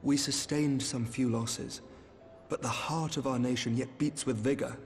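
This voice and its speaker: An actor playing a dignified, courteous knightly envoy in a fantasy video game, speaking formally and earnestly. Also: male voice, medium pitch, steady tone, moderate pace, natural voice. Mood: solemn, grateful. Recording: loud music behind the voice.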